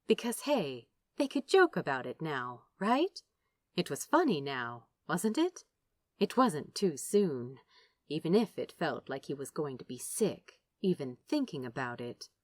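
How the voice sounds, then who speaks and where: A woman reading fiction aloud as a narrator, dramatic and wry, with deliberate phrasing. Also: low voice, big pitch swings, no room sound. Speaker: audiobook-style narrator. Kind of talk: expressive scripted fiction narration.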